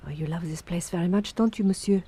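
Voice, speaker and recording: A woman's voice: on the low side, quick pace, mellow, breathy edge. A woman playing a devoted, deferential French housemaid in an audio drama, polite and attentive. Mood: cheerful, polite. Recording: faint music behind the voice.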